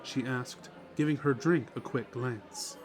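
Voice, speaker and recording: A man's voice: medium pitch, unhurried. A man reading scripted story narration as the narrator of a dramatic reading. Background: music.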